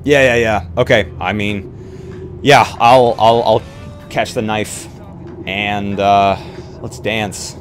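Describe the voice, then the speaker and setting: Male roleplay player, lowish voice, casual and sweary, reasoning aloud, light music in background. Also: fairly even intonation, takes it slowly, long pauses. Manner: sweary, reactive, thinking aloud. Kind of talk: casual game chat.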